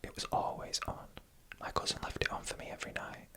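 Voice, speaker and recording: ASMR storyteller for creepy tales: fully whispered, breathy, bright, hushed and deliberate phrasing. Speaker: narrator reading online stories.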